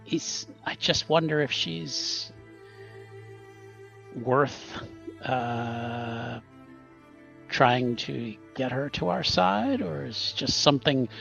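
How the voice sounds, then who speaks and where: A man's voice: mid-range voice, drawn-out words, creaky, long pauses, a bit bright. A man talking casually in a tabletop roleplaying game, tentative and repeating words as he thinks. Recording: slightly dull audio with music behind.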